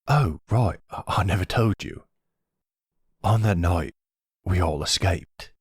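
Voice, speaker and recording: A man's voice: sits low, takes it slowly, slightly rough, no room sound, studio quality, heavily acted. A man playing a breezy, teasing family friend who loves kids, in an audio roleplay.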